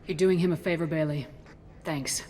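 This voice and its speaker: An actress playing a cool, assured space soldier hero in a video game, speaking in a firm, direct way. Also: female voice, low voice, fairly even intonation, moderate pace, background noise, close to an everyday voice. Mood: calm.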